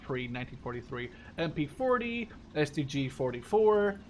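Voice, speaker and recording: A man's voice: fairly high pitch, sing-song, normal speed, slight background noise. A man in his twenties from the Netherlands giving casual, unscripted game commentary, rambling and thinking aloud. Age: 20s. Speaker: gaming YouTuber.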